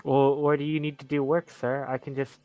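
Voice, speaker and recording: A man playing a tabletop roleplay game, speaking casually in a tentative, halting way. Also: mid-range voice, flat delivery, no echo, phone-quality sound. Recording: dry sound with music underneath. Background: music.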